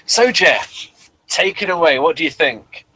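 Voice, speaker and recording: Forceful bright-voiced man hosting a review. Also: fairly high pitch, expressive, husky, low-quality recording. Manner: halting, rambling, thinks aloud. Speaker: podcast host. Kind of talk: casual episode review, reading a plot summary aloud.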